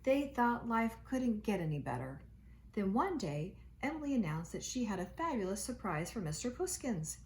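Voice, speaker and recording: Woman, storybook read-aloud, teacher, expressive, very varied intonation, noisy home recording. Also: mid-range voice, normal speed. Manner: expressive, dramatic emphasis.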